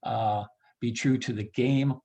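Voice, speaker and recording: A man with a medium-pitched voice speaks as an interview guest about his work as a ballpark announcer. His delivery is casual and halting, as he thinks out loud. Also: fairly even intonation, slightly rough, dull audio. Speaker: baseball PA announcer being interviewed.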